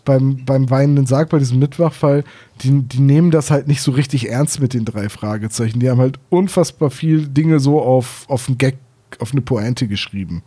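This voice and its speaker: A man's voice: mid-range voice, normal speed, dark tone, breathy edge. A man talking casually as a podcast co-host, retelling and discussing stories.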